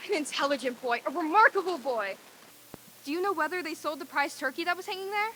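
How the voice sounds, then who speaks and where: A woman's voice: sits high, lively intonation, fast talker, bright, forceful tone, rough recording. A woman playing a reformed, overjoyed old miser in a theatrical old-time radio drama reading, with exclamatory delivery. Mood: giddy delight.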